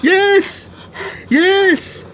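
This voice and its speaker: A man hosting a gaming video, reading game text aloud and commenting on it in a jittery, excitable way. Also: extremely high pitch, extremely slow, heavy background noise. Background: game music.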